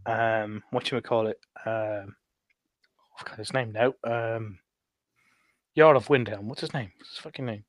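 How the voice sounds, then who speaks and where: Halting man recounting a game quest. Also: medium pitch, dry recording. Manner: halting, groping for words. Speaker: podcast roundtable panelist.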